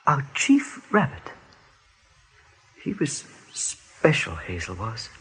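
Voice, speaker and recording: An actor voicing a kindly, wistful rabbit with a husky voice, halting and reflective, in a radio play. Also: medium pitch, wildly swooping pitch, fairly bright tone, heavily acted.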